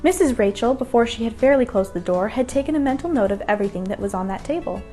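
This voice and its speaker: A woman reads a children's bedtime story as narrator. Her voice is on the high side, and music plays behind the reading. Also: animated, fast talker, mellow.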